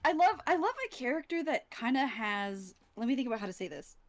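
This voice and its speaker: Female voice actor, fairly high pitch, informal enthusiastic praise in a casual cast conversation. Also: very animated, brisk. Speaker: voice actor in an audio drama. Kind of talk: casual chat, gushing fan-like praise.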